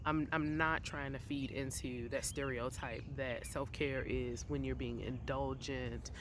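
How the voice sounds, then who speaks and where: Congested woman, young adult, rambling vlog chat, outdoors, phone at arm's length, steady outdoor noise. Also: very deep voice, unhurried. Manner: rambling, conversational, frequent fillers and restarts. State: congested from allergies. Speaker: vlogger. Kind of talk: casual chat to camera. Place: outdoors in a backyard by a wooden fence. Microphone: phone or camera at arm's length.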